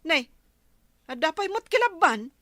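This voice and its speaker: A woman's voice: very high voice, very animated, unhurried, a bit bright, dry recording, clean studio sound, full character voice. A woman playing a vain, touchy village wife with an Ilocano accent in a radio comedy, whiny and insistent. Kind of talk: radio comedy drama acting.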